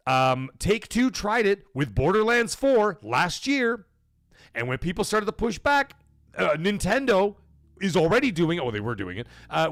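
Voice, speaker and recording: A man hosting a gaming livestream, talking casually and emphatically to his chat, often checking in with his listeners as he argues his point. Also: on the high side. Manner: emphatic, persuasive, keeps checking in with "okay?" and "right?".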